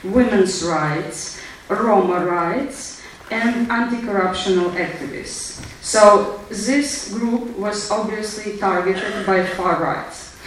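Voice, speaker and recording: Slow-talking Ukrainian woman in a hall. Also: medium pitch, a little hiss or hum. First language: Russian. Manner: halting, many fillers. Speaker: activist speaker. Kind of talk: public talk. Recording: roomy hall sound from far off.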